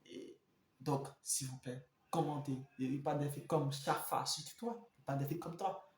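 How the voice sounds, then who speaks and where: A young man spins a story for his viewers, animated and full of repetitions. He often turns to address the audience directly. His voice sits a bit high for a man, and the room gives it a clear echo. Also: moderate pace. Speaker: vlogger telling a story. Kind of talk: casual storytelling monologue.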